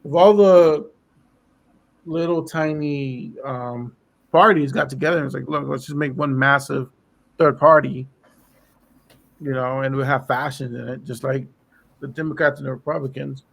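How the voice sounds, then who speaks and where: A man in casual political chat on a podcast, losing his voice and clearing his throat as he talks in a rambling way. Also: mid-range voice, steady tone, normal speed, long pauses, a bit bright. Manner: rambling, lots of fillers. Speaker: podcast co-host.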